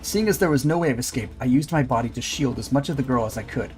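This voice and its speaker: A man's voice: mid-range voice, fast, a little mellow. A man streaming a visual novel, reading the story aloud and putting on voices for the characters. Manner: puts on character voices for dialogue. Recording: music under the voice.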